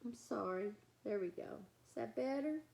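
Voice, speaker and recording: Children's story reader: woman, high voice, lively melodic range, expressive delivery with chatty asides. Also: normal speed, vocal fry. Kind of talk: animated read-aloud for young children.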